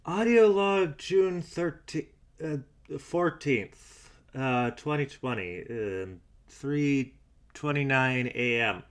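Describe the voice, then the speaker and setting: A man's voice: medium pitch, close to an everyday voice. A man playing a weary, doubtful investigator in an audio drama, dictating private logs in a hesitant, dryly skeptical, musing way. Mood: musing, resigned. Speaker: investigator and show host character.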